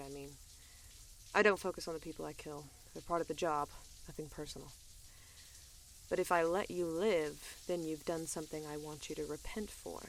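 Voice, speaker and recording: Audio drama lead: tough, cool bounty hunter woman, played by an actress, fairly low register, dry wit, deadpan conversational delivery. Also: slight background noise. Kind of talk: audio drama, first-person narration and dialogue.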